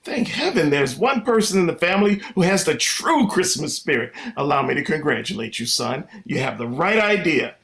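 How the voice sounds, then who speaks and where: A man's voice: a little high, big pitch swings, normal speed, hardly any pauses, a bit bright. A man playing a wordy, mock-formal, exasperated middle-aged father in a vintage-style radio comedy. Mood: approving, pompous. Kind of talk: vintage radio sitcom acting.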